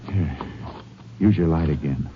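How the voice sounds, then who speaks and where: Brief: a level-headed lawman for a 1950s radio western, very deep, clipped, dull old broadcast sound. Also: measured pace, background noise. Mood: calm. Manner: calm, clipped, matter-of-fact. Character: steady, shrewd male Texas Ranger tracker. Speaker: Texas Ranger and narrator. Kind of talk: 1950s radio drama acting.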